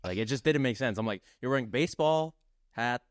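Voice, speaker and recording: A man's voice: medium pitch, lively intonation, fairly quick, no echo, studio quality. A man chatting and telling stories casually as a podcast co-host, full of slang and swearing. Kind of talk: casual chat and storytelling.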